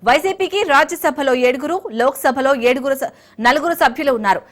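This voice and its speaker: Woman, very high voice, news anchor, scripted breaking-news delivery. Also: a little fast.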